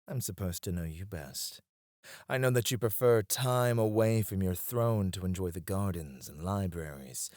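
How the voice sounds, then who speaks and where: A man's voice: low voice, dry recording, clean studio sound. A man playing a devoted, teasing royal advisor in a romantic ASMR roleplay, speaking in a formal, courtly way.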